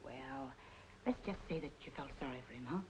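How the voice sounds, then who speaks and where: Wry, quick, swooping noir dame. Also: female voice, rumbling low, animated, a little mellow, slight background noise, rough recording. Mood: knowing, resigned. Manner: teasing, knowing, sardonic. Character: wry, worldly, good-natured woman in a noir drama. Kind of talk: 1940s-50s film noir radio drama acting.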